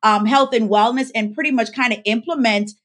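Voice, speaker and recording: A woman's voice: on the high side, fairly quick, fairly bright tone. A woman from the Bahamas, a pharmacist and life coach, telling her story as a podcast guest and acting out the quoted lines. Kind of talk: casual interview chat.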